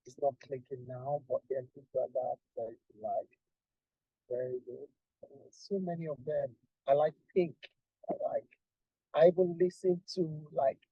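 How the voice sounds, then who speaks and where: Man, husky, raised gravelly pitch, very dark mellow tone, dry and lo-fi. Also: dry recording. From Nigeria. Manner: rambling, repeats and restarts, lists with emphasis. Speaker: guest musician. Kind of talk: casual interview talk.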